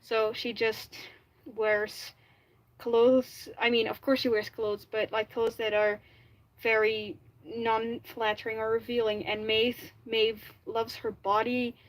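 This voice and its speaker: A woman's voice: on the high side, little pitch movement, slow. A woman with a Flemish accent, native Dutch speaker, chatting casually on a livestream and often correcting herself mid-sentence. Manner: chatty, restarts and self-corrects, frequent "like". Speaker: livestreamer making a fursuit.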